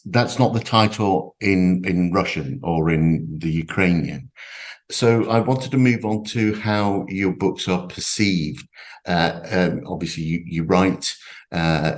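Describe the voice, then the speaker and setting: A man interviewing a novelist at a festival, asking long rambling questions full of fillers. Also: deep voice. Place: stage at a literature festival.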